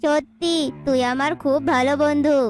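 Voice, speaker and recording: A woman's voice: high voice, steady tone, moderate pace, faint music behind, voice pushed down, lightly acted. Woman playing a gullible, hungry cartoon dog. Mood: delighted, trusting. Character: gullible, hungry pet dog, trusting and simple. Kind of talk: children's cartoon voice acting.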